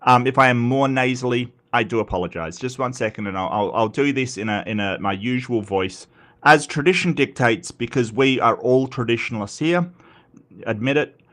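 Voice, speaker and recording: A man's voice: medium pitch, moderate pace, a bit bright. Stuffy-nosed Australian man rambling on stream. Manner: rambling and self-mocking, frequent stammered restarts. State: congested, nasal from a cold. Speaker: host of a live atheist commentary stream. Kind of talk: casual live-stream chat.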